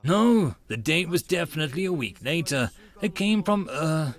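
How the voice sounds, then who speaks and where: A man's voice: on the high side, big pitch swings, normal speed. A man voicing a puzzled young male friend in an anime visual novel, casual and matter-of-fact. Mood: insistent.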